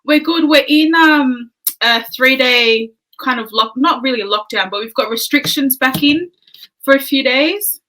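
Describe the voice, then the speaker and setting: Bright-voiced woman guest. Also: high voice, unhurried, rough recording. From Australia. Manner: modest, friendly, many "um"s. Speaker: podcast guest, early childhood educator. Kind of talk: casual interview chat.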